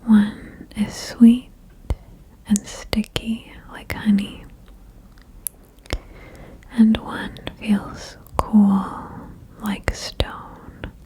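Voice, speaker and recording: A woman whispering a guided ASMR meditation in a breathy, soothing way, with gentle instructions and long pauses. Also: medium pitch, level tone, poor audio. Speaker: ASMR host guiding a relaxation game.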